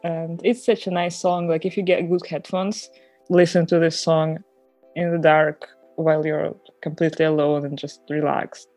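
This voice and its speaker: Mellow, low-voiced woman chatting about music. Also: dry recording. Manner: chatty, personal, laughs easily. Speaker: podcast co-host. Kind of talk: casual chat about music.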